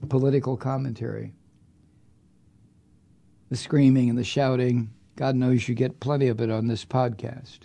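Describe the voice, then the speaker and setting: A man with a gravelly, mellow-toned voice rants on his podcast. He is angry and profane, and he hammers on his words. His delivery is political commentary, with a dark timbre underneath. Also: mid-range voice. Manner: angry, profane, emphatic ranting. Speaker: podcast host.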